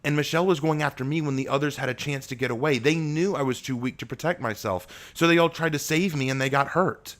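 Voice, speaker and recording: A man's voice: a little high, quick pace, few pauses, fairly bright tone, voice pushed up, lightly acted. A man voicing a timid, insecure young human man who stammers and hesitates. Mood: upset.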